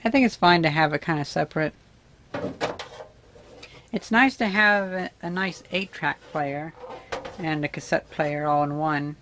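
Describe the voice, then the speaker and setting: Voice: medium pitch, measured pace, pauses often. A vlogger giving a casual, unscripted demonstration, musing and tentative as if thinking aloud. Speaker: vlogger demonstrating vintage audio gear. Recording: clicks and background noise.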